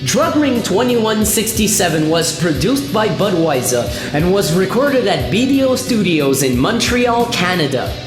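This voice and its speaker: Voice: mid-range voice, sing-song, a little slow, few pauses. An actor playing a level-headed narrator and crew leader in a scripted sci-fi radio play. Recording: echoey reverberant sound with music behind.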